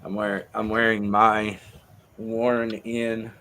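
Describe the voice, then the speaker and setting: A man's voice: fairly low pitch, completely flat delivery, slow, bright, forceful tone, slight background noise. A man hosting a livestream with casual, crude and irreverent banter. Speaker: show host.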